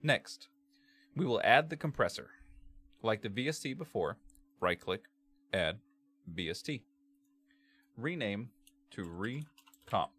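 A man with a bass-heavy voice narrating a software tutorial in a measured, step-by-step way as its presenter. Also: lively intonation, slightly rough. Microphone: computer microphone, screen-capture voice-over.

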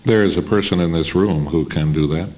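A man's voice: sits low, fairly quick, a little mellow, slight background noise. A man making casual remarks as a panelist in a meeting room with an audience.

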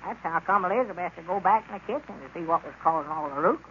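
High-voiced old Southern country fellow. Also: male voice, rapid-fire, husky. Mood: defensive, chiding. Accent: rural Southern US. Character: folksy old small-town man, chuckling, excuse-making. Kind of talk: 1930s radio comedy acting. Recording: muffled, noisy vintage radio recording.

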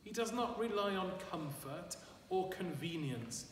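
Slow, high-voiced older priest in echoey church. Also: male voice, animated. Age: 60s or older. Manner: deliberate, emphatic, with measured phrases and repetition. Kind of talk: sermon. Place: large stone church, at a lectern. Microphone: far camera microphone. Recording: echoey church, distant mic, background noise.

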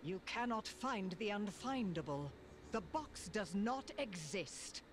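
Haughty villain sorceress, slow theatrical delivery, game acting, clear background noise. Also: female voice, medium pitch, animated. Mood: cold, portentous. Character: imperious, menacing evil sorceress.

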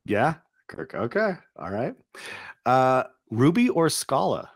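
A middle-aged man hosting a casual interview over a video call, upbeat with quick reactions, speaking into a studio mic. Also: medium pitch, big pitch swings, no echo. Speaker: interview host. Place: home studio. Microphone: boom studio microphone with headphones.

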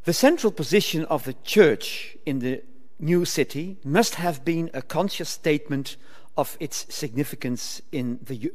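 An older man reading a formal academic paper aloud at a lectern, measured and occasionally stumbling over words. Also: on the high side. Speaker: conference speaker. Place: lecture hall, speaking at a lectern. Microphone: lectern microphone. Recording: reverberant hall.

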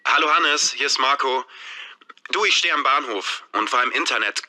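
A friendly caller leaving a message, his voice very bright and forward. He speaks in a clear, steady manner suited to an exam recording. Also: mid-range voice, normal speed. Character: adult man leaving a friendly phone message. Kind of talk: scripted listening-test dialogue.

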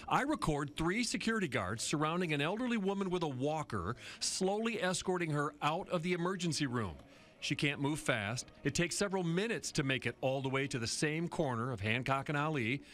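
A male reporter narrating a news investigation in a measured, serious voice-over. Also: mid-range voice, a bit bright.

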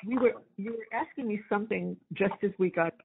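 A woman in her seventies chatting casually on a family phone call, halting and repeating phrases, with a husky, gravelly voice. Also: mid-range voice. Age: 70s. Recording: tinny, band-limited jail phone call.

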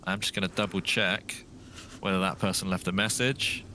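Low voiced british guy on a headset, polite, reading the chat out. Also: normal speed, slight background noise. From Britain. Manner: polite, steady, reads out chat and thanks subscribers. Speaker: co-host of a game stream. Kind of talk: casual chat while gaming. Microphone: gaming headset.

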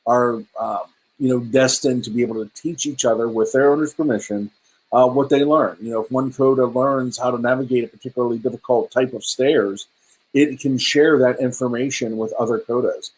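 A man's voice: mid-range voice, steady tone, a little fast, breathy edge, poor audio. A middle-aged man casually presenting slides over a webcam video call, hesitant and chatty with many ums and restarts. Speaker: presenter at a virtual meetup. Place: indoor room at home.

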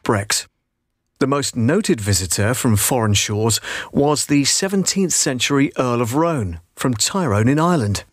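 A middle-aged man narrates a promotional script as a measured storyteller. His pitch is average for a man. The recording is close and dry, as from a voice-over booth. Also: expressive, no echo. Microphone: close booth microphone.

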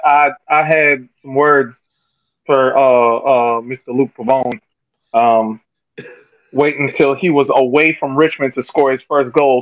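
A man in his thirties talking emphatically and casually about sports on a dull-sounding video call, repeating phrases for effect. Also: medium pitch. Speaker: podcast panelist. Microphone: webcam video call.

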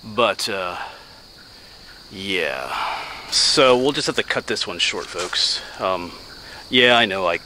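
A man's voice: sits low, animated, slow, pushed and bright. An American man in his forties chatting casually and rambling folksily as he walks a wooded trail, speaking into a handheld camera. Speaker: hiking vlogger and teacher. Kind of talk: casual chat while walking a trail. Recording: outdoor camera audio with clear noise.

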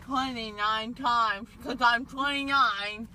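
A woman's voice: fairly high pitch, steady tone, slow pace, pushed and bright. A young woman, groggy and numb-mouthed after dental surgery, rambling sillily and slipping into sing-song bits from a car's passenger seat. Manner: silly, slurred, breaks into sing-song. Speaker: patient after wisdom teeth removal. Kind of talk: loopy rambling chat. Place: passenger seat of a moving car. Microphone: phone camera held by driver. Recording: car road noise behind.

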